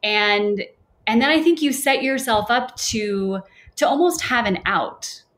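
Woman, young adult, meditation teacher, relaxed, chatty, playful asides. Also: a little high, a little slow. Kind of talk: casual podcast conversation.

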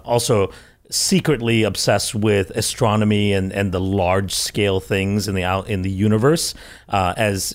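Enthusiastic low-voiced male scientist guest. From Malaysia and India. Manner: enthusiastic, rambling, laughs often. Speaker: guest microbiologist. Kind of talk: conversational interview answers.

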